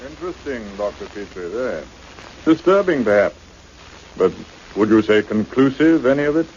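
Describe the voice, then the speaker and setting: A man acting a mild but sharply probing government minister in a radio play, with a deliberate, questioning manner. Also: medium pitch, very animated, darkish tone, natural voice. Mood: cool, appraising. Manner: deliberate, clipped, questioning, authoritative. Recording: muffled radio recording with faint noise.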